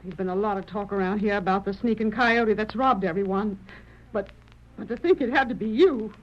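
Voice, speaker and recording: A woman's voice: mid-range voice, brisk, natural voice. A woman playing an earnest, heartbroken frontier wife with an American accent, folksy and emotional in radio western style. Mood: disappointed. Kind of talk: 1940s radio western acting. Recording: muffled old radio recording.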